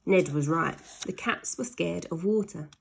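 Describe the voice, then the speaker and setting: A woman's voice: on the low side, measured pace, creaky, husky. A woman narrating a children's story aloud in a dramatic way, leaning hard on sound words. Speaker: storybook reader.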